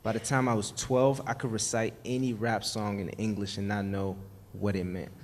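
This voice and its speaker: A man's voice: lowish voice, flat delivery, moderate pace, a little mellow. Male panelist from Belgium, French native, easygoing storytelling over faint ambient noise.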